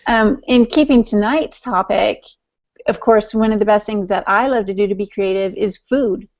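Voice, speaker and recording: A woman's voice: medium pitch, moderate pace, dull audio. A woman podcast co-host chatting casually in a talkative, teasing manner. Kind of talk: casual chat.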